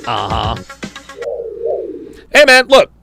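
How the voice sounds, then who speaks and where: A man's voice: mid-range voice, huge pitch swings, very slow, vocal fry, music in the background. A man hosting a casual livestream, reading viewer comments aloud, laughing often and sounding wry, a little strained as he loses his voice. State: losing his voice. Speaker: livestream host reading super chats.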